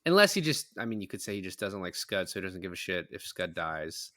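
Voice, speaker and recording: A man's voice: low-pitched, big pitch swings, fairly quick, bright, forceful tone, no echo, studio quality. A man in a casual film discussion, opinionated and emphatic, swearing freely as he makes his points. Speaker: film podcast panelist.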